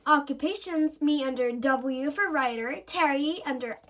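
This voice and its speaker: A woman's voice: high-pitched, a little slow, a bit bright. A woman reading a children's story and voicing a thoughtful young boy who is a writer. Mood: reasoning.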